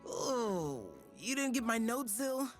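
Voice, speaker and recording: An actor playing a cocky, warm, street-smart teenage boy inventor in a video game, with playful, breezy slang. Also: medium pitch, big pitch swings, slow pace, soft background music. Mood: teasing.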